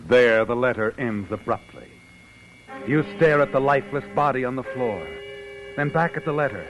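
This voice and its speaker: An actor playing a knowing, insinuating narrator who taunts like a conscience, in dramatic 1940s radio suspense style. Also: male voice, medium pitch, normal speed, fairly bright tone, lightly acted. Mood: knowing, ominous. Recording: dull old radio broadcast with music.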